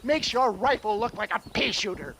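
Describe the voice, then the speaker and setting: Casting: shifty old tonic peddler, western game. Very high-pitched, pompous, wheedling, cowardly; faint noise behind. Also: male voice, moderate pace, vocal fry, sharp tone, exaggerated voice. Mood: boastful, scornful. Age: older. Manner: pompous, wheedling, hemming and hawing. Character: shifty, cowardly, theatrical old snake-oil salesman. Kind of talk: dramatic video game cutscene acting.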